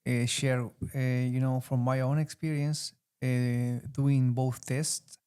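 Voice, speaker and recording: Chilean man, smooth and mellow voice. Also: medium pitch, level tone. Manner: halting, searching for words with frequent fillers. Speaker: guest, former student. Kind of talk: interview answers.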